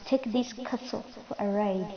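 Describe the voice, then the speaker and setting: A woman's voice: medium pitch, measured pace, lightly acted. A woman voicing a mischievous female witch who chants rhyming spells in a sing-song way, on a muffled recording with crowd noise behind. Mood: chanting.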